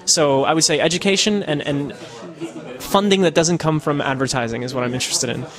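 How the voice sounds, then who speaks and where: Thoughtful podcaster man, slightly high voice. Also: fairly quick, a bit bright. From United States. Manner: casual, thoughtful, frequent fillers and restarts. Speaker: podcaster and citizen journalist. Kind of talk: interview answers. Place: Netherlands.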